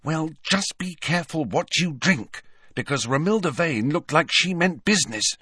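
A bookish teenage schoolgirl in a storybook, played by a man reading aloud. Her voice is raised high and swings about in pitch. She sounds bossy and exasperated, a scornful know-it-all. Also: wildly swooping pitch, measured pace, sharp tone, husky, dry recording, studio quality, heavily acted. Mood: grim.

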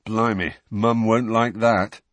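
A man's voice: lowish voice, fairly even intonation, slow, no room sound. A man voicing a mischievous teenage boy with a wry, teasing manner.

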